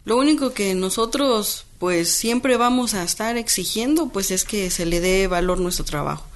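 A woman's voice: medium pitch, moderate pace. Firm, earnest midwife woman. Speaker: traditional midwife. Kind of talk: interview statement.

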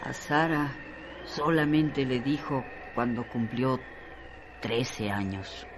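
A man narrating a literary radio drama in a solemn, deliberate, dramatized way. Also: medium pitch. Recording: noisy radio drama recording.

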